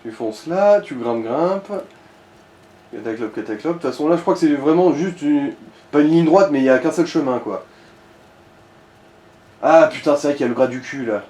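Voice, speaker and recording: A man's voice: a little high, moderate pace, long pauses, dark tone. A man chatting casually as he co-commentates a video game, giving informal, slangy step-by-step directions to the player. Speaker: let's play co-commentator guiding the player. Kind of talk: casual gaming chat. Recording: roomy echo with noticeable background noise.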